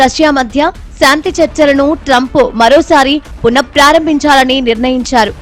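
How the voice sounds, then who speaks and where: High-pitched woman reading scripted news. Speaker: news voice-over reporter. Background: background music bed.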